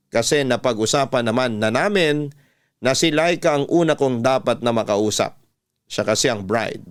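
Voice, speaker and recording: Smooth Filipino man narrating a story. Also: medium pitch. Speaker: radio host reading a listener's letter. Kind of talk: first-person scripted story narration.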